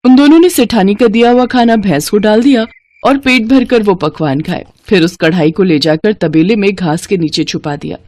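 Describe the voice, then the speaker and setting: A woman's voice: mid-range voice, normal speed, darkish tone, dry recording. A woman narrating a scripted moral story as its storyteller.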